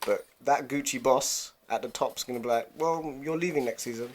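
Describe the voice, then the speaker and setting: A young East London man with a medium-pitched voice in a casual podcast chat. He speaks in slang, leaning on key phrases and repeating them for emphasis. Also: moderate pace, a little hiss or hum. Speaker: podcast host.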